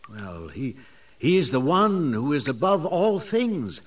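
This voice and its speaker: An actor playing a steadfast, earnest missionary prisoner in an audio drama, speaking in a measured, expressive way. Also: male voice, fairly high pitch, heavily acted. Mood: reverent.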